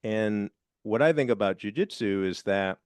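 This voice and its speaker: Friendly middle-aged man hosting, low voice. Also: moderate pace, smooth, no echo. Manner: friendly, with fillers like "uh" and "um". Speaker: podcast host. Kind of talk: podcast interview. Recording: dry close mic, faint music.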